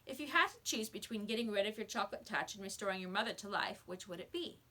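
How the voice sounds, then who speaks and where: A woman voicing a calm, stern old male shopkeeper in a storybook, measured and moralizing. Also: mid-range voice. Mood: measured, instructive. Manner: measured, didactic.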